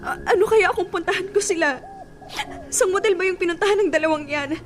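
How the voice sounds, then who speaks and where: High-pitched, plaintive heartbroken young woman. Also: brisk, noisy background. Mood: anguished, tearful. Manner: plaintive, hesitant. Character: heartbroken young woman clinging to her cheating boyfriend. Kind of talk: Tagalog radio drama acting. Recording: music bed behind the voice.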